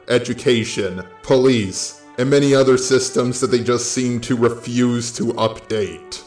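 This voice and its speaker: American man, medium pitch, blunt, casual, sweary commentary, echoey recording, quiet music underneath. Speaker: video commentator. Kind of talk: opinion commentary monologue.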